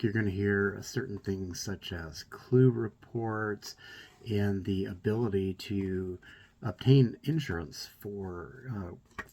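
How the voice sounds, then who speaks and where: A man's voice: sits low, darkish tone, slight background noise. A man, a real estate agent, giving a promotional introduction straight to viewers.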